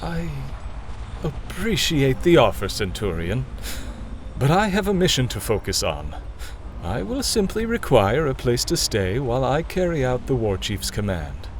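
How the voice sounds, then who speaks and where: An actor playing a formal, reserved elven mage in a fantasy audio drama, courteous and somewhat hesitant. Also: male voice, mid-range voice, big pitch swings, unhurried, slight background noise, poor audio.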